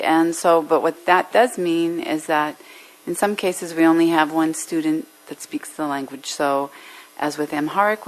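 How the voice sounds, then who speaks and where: A woman's voice: fairly low pitch, poor audio. A woman teacher speaking informally as she introduces things to her class.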